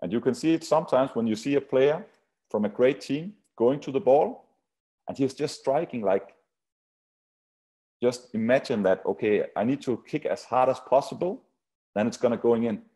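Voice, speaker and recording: A set-piece coach, a man whose first language is Swiss German, speaking haltingly with restarts on a webinar video call. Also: mid-range voice, dark tone, slightly rough. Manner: halting non-native English with restarts. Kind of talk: webinar talk.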